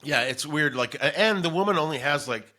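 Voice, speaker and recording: A man's voice: on the high side, animated, brisk, pushed and bright. A man co-hosting a casual comedic talk show, telling stories in a rambling way and acting out bits of dialogue. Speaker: podcast co-host.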